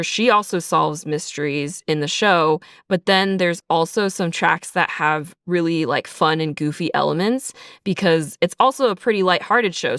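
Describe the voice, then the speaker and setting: A woman with a fairly low, smooth voice talks in a casual interview. She explains things reflectively, hedging as she thinks aloud. The sound is close and dry, with no room around her. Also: a bit bright, dry recording. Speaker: audio drama writer and creator being interviewed. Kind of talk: casual conversational interview answers.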